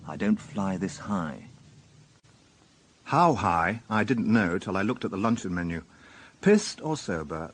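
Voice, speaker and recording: An actor playing a shrewd, educated police inspector, dry, questioning and ironic, in a radio drama. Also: male voice, on the low side, breathy edge, exaggerated voice.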